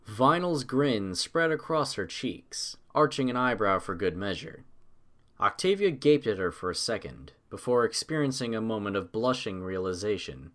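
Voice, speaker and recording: A story narrator with a very animated delivery, reading scripted prose. The intonation rises and falls widely from phrase to phrase. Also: mid-range voice, moderate pace.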